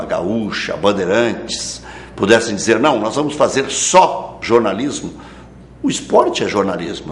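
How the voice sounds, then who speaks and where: A man in a reflective interview about radio, speaking in a measured way with frequent asides. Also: fairly low pitch, roomy sound, a little hiss or hum.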